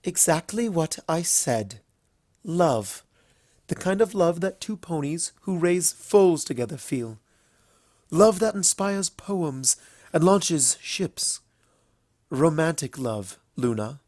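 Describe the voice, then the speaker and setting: A regal, teasing elder mare princess from a storybook , acted by a man. The voice is lifted high and takes its time, savoring words with a knowing, playful affection. The sound is close and dry. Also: lively intonation, darkish tone, dry recording. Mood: tender, knowing. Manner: drawn-out, playful, knowing delivery. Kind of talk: fan fiction story reading.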